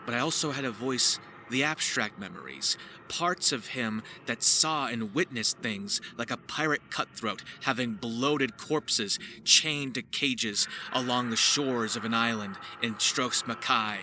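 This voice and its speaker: A man's voice: mid-range voice, measured pace, bright, forceful tone. A man presenting his voice acting work in a scripted, theatrical narration with florid wording. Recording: faint ambient noise behind.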